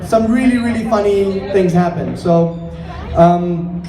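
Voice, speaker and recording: A man's voice: sits high, unhurried. A man from Singapore performing a conversational stand-up comedy set, swearing freely and acting out bits of dialogue. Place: indoor comedy venue with audience. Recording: echoey, distant live room with crowd noise.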